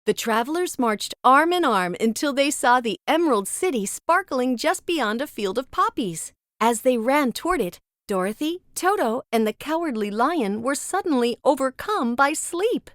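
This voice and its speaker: A woman narrating a read-along children's storybook with expressive, dramatic storytelling. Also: sits high, moderate pace, few pauses, dry recording, clean studio sound.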